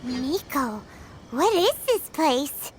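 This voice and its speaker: A woman voicing a tiny, childlike, excitable female fairy companion in a video game, with a squeaky, expressive voice and an easily frightened temper. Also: extremely slow, a little hiss or hum, full character voice. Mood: nervous, scared. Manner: speaks of herself in the third person, expressive. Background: background music.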